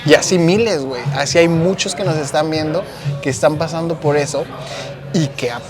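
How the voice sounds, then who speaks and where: Mexican male host, casual chat, slangy, lots of fillers, a bit high, music bed. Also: normal speed. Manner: animated, slangy, searches for words with many fillers.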